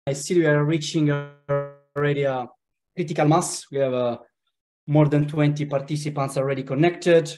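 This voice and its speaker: A man with an Italian accent hosts an online webinar in a relaxed way, pausing often with "uh" fillers. He speaks over a video call from a home office. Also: fairly high pitch, over music. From Italy. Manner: relaxed, hesitant, with frequent "uh" fillers. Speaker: webinar host.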